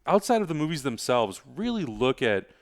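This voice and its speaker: A man's voice: medium pitch, sing-song, brisk. A man co-hosting a film review show, talking casually and without a script. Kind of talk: casual unscripted movie review chat.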